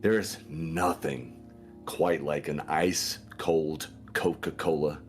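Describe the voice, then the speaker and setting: A man playing a chummy, smooth-talking investigator in a tabletop game, with a deliberate, salesman-like delivery. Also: extremely low pitch, fairly even intonation, soft background music. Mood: chummy, pointed.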